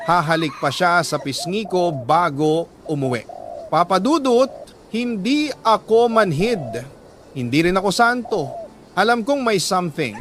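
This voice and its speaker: Higher-pitched Filipino male storyteller, noisy backdrop. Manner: emotive, deliberate phrasing with pauses. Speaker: radio host and storyteller reading a listener's letter. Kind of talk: dramatic narration of a confessional love story.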